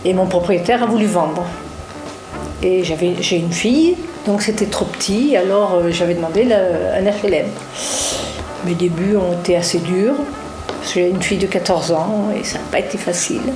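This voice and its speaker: A woman's voice: lowish voice, normal speed, over music. A woman, a longtime resident, telling her story in a matter-of-fact, slightly weary way. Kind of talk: documentary interview. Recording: echoey room.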